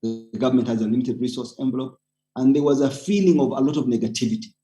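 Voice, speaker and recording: Earnest Ugandan man with a mellow voice. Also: medium pitch, fast, soft background music. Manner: earnest, emphatic, persuasive. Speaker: panelist, community organizer. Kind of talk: webinar talk, personal account with exhortation.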